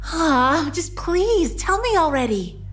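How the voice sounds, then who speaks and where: A woman playing an excitable, hot-tempered young female inventor in an audio drama, exclaiming and accusing with emphasis. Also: extremely high pitch, slow pace, full character voice. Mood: impatient curiosity.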